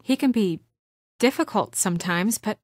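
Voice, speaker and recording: A woman playing an earnest, guarded young office administrator in a video game, with measured, soft-spoken, often hesitant delivery. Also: mid-range voice, animated, dry recording, clean studio sound, natural voice. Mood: frustrated, worried. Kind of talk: naturalistic video game voice acting.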